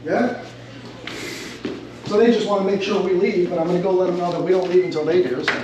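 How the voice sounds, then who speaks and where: Fast-talking, high-pitched man from New York. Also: lo-fi sound. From Long Island, New York, USA. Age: middle-aged. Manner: talkative, polite and emphatic. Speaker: host of civil-rights audit vlog. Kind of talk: casual chat with people he meets. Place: post office and its outdoor car park. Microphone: camera rig held at chest or face. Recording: clear outdoor and background noise.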